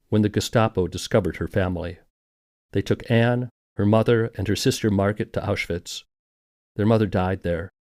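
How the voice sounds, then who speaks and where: A man's voice: fairly low pitch, a little mellow, no echo, studio quality. A man hosting a documentary podcast and reading scripted narration. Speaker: podcast host.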